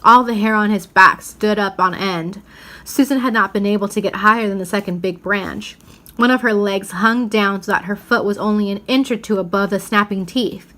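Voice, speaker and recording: A woman narrates a children's storybook at a medium pitch. She reads expressively, giving dramatic emphasis to key words as a read-aloud storyteller would. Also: moderate pace, bright, forceful tone. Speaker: reader reading a children's novel aloud.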